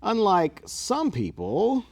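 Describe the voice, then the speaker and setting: A man hosting a comedic talk-show skit, enthusiastic and animated in his patter. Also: sits high, takes it slowly.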